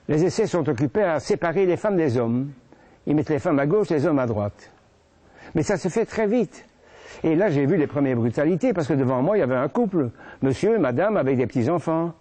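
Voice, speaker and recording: A man in his eighties from Brussels with a Belgian French accent, recounting his own memories as a witness, halting and often repeating words as he searches for them. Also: on the high side, mellow. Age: 80s. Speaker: witness giving testimony. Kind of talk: first-person spoken recollection.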